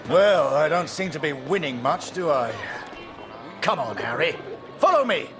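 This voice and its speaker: An actor playing a jovial army major in a video game. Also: male voice, a little high, big pitch swings, a little slow, music in the background. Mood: jovial, commanding. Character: jovial British army major, hiding grief. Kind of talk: dramatic video game dialogue.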